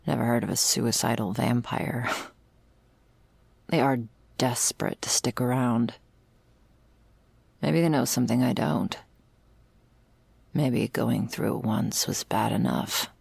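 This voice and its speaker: Woman doing a jaded vampire servant lady for asmr roleplay, super creaky husky voice, slow n deadpan. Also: extremely low pitch, dry recording, full character voice. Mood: weary, explaining. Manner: dry, deadpan, sarcastic, foul-mouthed. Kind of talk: intimate ASMR audio roleplay. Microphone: close, dry microphone.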